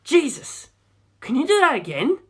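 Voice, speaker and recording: A man voicing a panicky, excitable wartime airman in an audiobook, yelling in exclamations. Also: very high-pitched, normal speed, voice pushed up, exaggerated voice. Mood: panicked. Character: panicky, excitable male airman in First World War.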